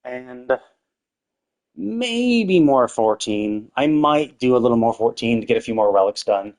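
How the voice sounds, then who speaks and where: A man's voice: mid-range voice, animated, measured pace, a little mellow. A man chatting casually on a gaming livestream in a rambling, conversational way, with loose, casually profane talk. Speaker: live streamer.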